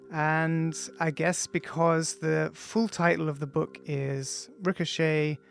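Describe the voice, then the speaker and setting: Author-narrator, male, casual and halting with lots of ums, rough gravelly tone. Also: a little high. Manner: casual, hesitant, many ums between phrases. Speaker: author reading his audiobook. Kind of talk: audiobook introduction, loose spoken then read text. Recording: music playing faintly behind.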